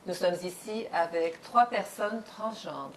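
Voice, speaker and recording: A woman hosting a dinner-table interview in a home, speaking slowly and carefully as she searches for words. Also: fairly low pitch, slight background noise, low-quality recording. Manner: slow and measured, searching for words. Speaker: host. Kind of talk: hosted interview.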